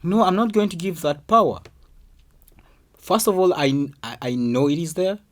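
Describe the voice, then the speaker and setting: A man's voice: a little high, normal speed. A man guest on a podcast, talking emphatically and repeating himself for stress in casual conversation. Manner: emphatic, repeats words, rising excitement. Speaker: guest, artist and producer.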